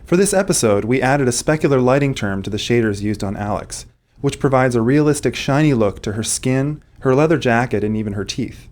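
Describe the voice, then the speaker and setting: A man's voice: mid-range voice, expressive, a little fast, darkish tone. A man who is a game developer, reading scripted technical commentary.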